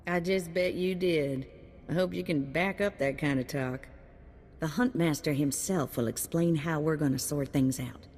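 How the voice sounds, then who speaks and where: Chummy, low-voiced hunt handler. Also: female voice, normal speed, a little mellow. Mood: frank. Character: folksy, chummy female bounty hunt handler. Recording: long reverb.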